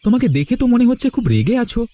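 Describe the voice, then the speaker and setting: A man voicing a sly young brother-in-law in a cartoon story, flattering and eager to please as he schemes. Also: very high voice, very fast, heavily acted. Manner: ingratiating, wheedling. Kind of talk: animated cartoon story dialogue.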